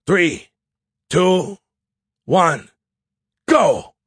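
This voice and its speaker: A man acts out a military officer in an audiobook. He is steady and decisive but tense, speaking tersely in a raised pitch. The recording is close and dry. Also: moderate pace, dry recording, close to an everyday voice. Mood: commanding. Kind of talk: audiobook character acting.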